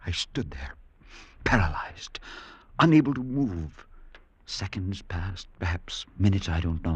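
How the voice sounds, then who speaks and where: An actor playing a grave, solicitous clergyman who tells a horror tale with measured, dramatic delivery in an old radio drama. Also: deep voice, slightly rough, no echo. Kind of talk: 1940s-50s radio drama acting and narration. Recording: noisy vintage radio recording.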